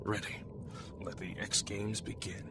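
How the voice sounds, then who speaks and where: A man's voice: lowish voice, flat delivery, measured pace, sharp tone, a little hiss or hum. A man voicing a grim, irritable robot hunter in a fan radio drama, curt, menacing and full of contempt. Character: grim, irritable robot manta-ray hunter bent on revenge.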